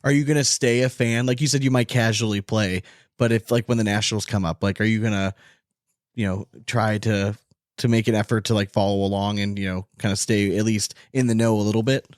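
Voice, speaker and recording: Low-key man hosting a casual sports podcast. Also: steady tone, fairly quick, no room sound, studio quality. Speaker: podcast host.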